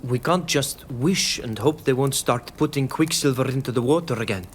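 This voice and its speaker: A man's voice: medium pitch, normal speed, a little hiss or hum, close to an everyday voice. A man playing a resolute, curious young islander villager in a video game, speaking plainly and earnestly. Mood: determined. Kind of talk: video game dialogue acting. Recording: music playing under the voice.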